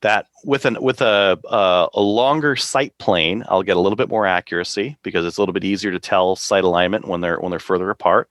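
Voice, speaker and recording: A man's voice: low voice, fairly quick, hardly any pauses, a bit bright, dry recording, studio quality. A man, co-hosting a podcast and talking casually and informally with slangy phrasing. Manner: informal, conversational, uses slang. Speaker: podcast co-host. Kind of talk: casual hobbyist chat.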